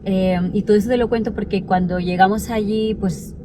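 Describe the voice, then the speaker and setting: A woman in her forties, born in El Salvador, tells her personal story in an earnest, reflective way. Her voice sits at a middle pitch. Steady ambient noise runs behind her. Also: a little fast. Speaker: interview guest. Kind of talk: personal testimony in conversation. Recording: noisy background ambience.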